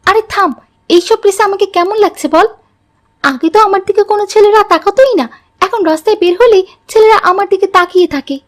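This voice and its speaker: A woman's voice: very high-pitched, fast. A woman voicing a headstrong young college girl in a moral cartoon story. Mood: defiant. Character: headstrong college girl Fatema, later remorseful.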